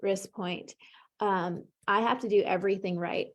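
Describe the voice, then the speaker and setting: A woman with a mid-range voice leads an emotional tapping session. She calls out tapping points and gives short phrases for her client to repeat. She stays calm and encouraging throughout. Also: medium pitch, measured pace. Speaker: EFT tapping coach.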